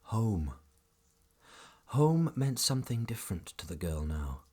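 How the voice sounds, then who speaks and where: A man's voice: lowish voice, big pitch swings, unhurried, a little mellow, breathy edge, full character voice. A man narrating a family audio drama as a scripted storybook tale, dramatic and whimsical.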